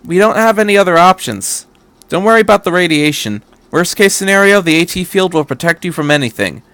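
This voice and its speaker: A man voicing a brisk, resolute female military officer in a story, commanding and matter-of-fact. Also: sits high, expressive, fairly bright tone, clear, resonant tone, dry recording, pitched above the performer's own voice. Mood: determined.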